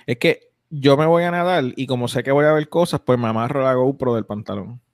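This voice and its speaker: A man's voice: mid-range voice, brisk, dry recording, clean studio sound. A young man hosting a casual podcast, rambling with lots of fillers and restarts. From United States. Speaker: podcast host.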